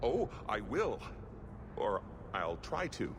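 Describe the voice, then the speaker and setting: A man's voice: on the low side, very animated, takes it slowly. An actor playing a flustered, self-doubting dwarf man in a video game, with ambient noise behind him. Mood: uneasy, hopeful. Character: nervous, bumbling dwarf man hiring help.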